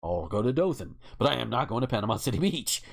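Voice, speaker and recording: A man's voice: mid-range voice, sing-song, fast, creaky. A man co-hosting a podcast, talking casually in a rambling, wry and opinionated way. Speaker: podcast co-host. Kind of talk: casual chat.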